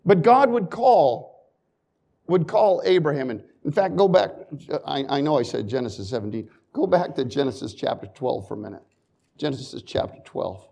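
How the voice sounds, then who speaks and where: A male preacher delivering a sermon in a fairly high voice. He reads scripture in old-fashioned phrasing, then drops to quiet, folksy asides before building back to loud emphasis.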